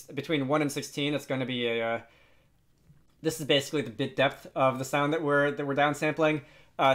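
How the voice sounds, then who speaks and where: A man's voice: mid-range voice, fairly bright tone. A man presenting an unscripted game development tutorial in a casual, hesitant, rambling and self-deprecating way.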